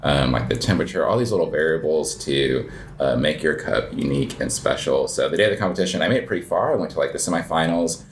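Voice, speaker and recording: A man's voice: rumbling low, quick pace, a little hiss or hum. A man, perhaps in his thirties, chatting casually as an interview guest about coffee, rambling with lots of filler words. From United States. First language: English. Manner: relaxed, rambling, heavy filler words, self-deprecating laughs. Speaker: guest, cafe owner and coffee competitor.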